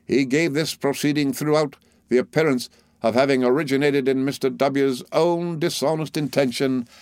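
Pompous middle-aged gentleman played by a male narrator, raised pitch, grandiloquent, savouring every formal phrase, classic novel audiobook. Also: steady tone, moderate pace, dry recording, close to an everyday voice. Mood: declamatory relish. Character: pompous, florid middle-aged man triumphantly reading out an accusation.

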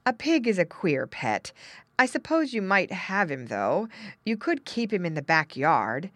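A woman voicing a doubtful but indulgent father in a children's storybook, deliberate and a little hesitant. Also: fairly low pitch, big pitch swings, a bit bright, no room sound, heavily acted. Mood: musing.

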